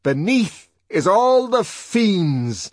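Man playing a violent young savage, tormented, shouting, ranting verse, husky. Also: high voice, very animated, very slow, no echo, studio quality, voice pushed up, full character voice. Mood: raving.

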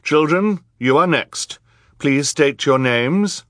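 A stern female judge from a storybook, acted out by a male narrator. The voice is lifted high and swings emphatically, formal and commanding, with a dry, close sound. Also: animated, slow pace, fairly bright tone, dry recording. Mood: firm. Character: stern, authoritative woman judge presiding over a courtroom. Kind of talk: audiobook character acting.

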